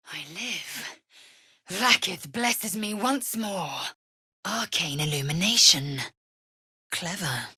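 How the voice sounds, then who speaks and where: A woman voicing a fierce, haughty githyanki warrior in a video game, with a husky rasp and an imperious, clipped, formal way of speaking. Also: sits low, a bit bright, dry recording, clean studio sound, full character voice. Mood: grave, assured. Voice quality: husky, raspy. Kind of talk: video game character voice lines.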